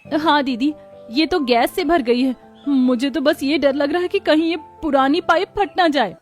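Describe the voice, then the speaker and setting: Woman voicing high, fretful young daughter-in-law, cartoon. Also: normal speed, faint music behind, natural voice. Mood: eager, worried. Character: curious, doubting younger village daughter-in-law, eager and easily worried. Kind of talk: scripted cartoon dialogue.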